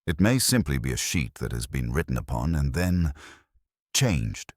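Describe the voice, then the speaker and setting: A man's voice: extremely low pitch, very animated, moderate pace, a little mellow, no room sound, heavily acted. A man voicing a courteous, dry police inspector who speaks formally and precisely in a mystery story. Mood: musing.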